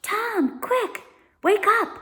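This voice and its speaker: Storybook big sister, played by a woman reader: young, bossy, urgent and snappy, raised pitch. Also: animated, extremely slow, a bit bright, exaggerated voice. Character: bossy, impatient young girl, older sister. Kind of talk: children's storybook character voice.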